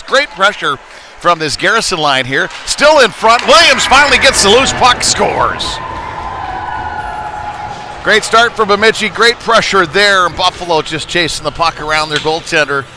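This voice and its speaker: A man doing live hockey play-by-play in a folksy, chatty way that lifts with the action. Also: on the high side. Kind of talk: live sports commentary with casual asides. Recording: noisy live rink ambience.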